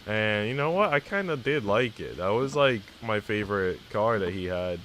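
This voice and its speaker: A man's voice: fairly low pitch, animated, normal speed, fairly bright tone, a little hiss or hum. A man live-streaming, reading a visual novel aloud and chatting casually with viewers in a slangy, teasing way.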